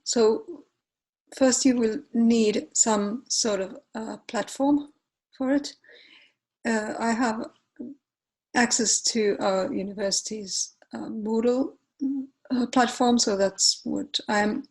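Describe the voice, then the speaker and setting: A woman in her forties, a native Finnish speaker with a Finnish accent, walks through a software tutorial while sharing her screen, often pausing with fillers and correcting herself. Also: fairly high pitch, fairly even intonation, slightly rough, low-quality recording. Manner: unhurried, hesitant, many fillers and self-corrections. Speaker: tutorial presenter.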